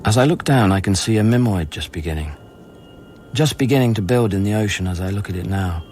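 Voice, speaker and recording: A man's voice: lowish voice, lively intonation, brisk, darkish tone, a little hiss or hum, natural voice. An actor playing a reflective, troubled scientist narrating in a radio play, pensive and hesitant in his phrasing. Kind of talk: radio drama monologue. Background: music.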